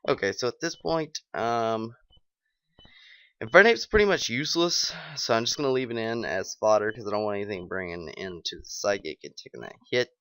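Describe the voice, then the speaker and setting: Sick young guy, scratchy stuffy voice, kinda low, dry close mic, just chatting through his game. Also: animated, fairly quick, a bit bright, clean tone, no room sound. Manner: casual, thinking aloud with many ums. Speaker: gaming channel host. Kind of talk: live game commentary.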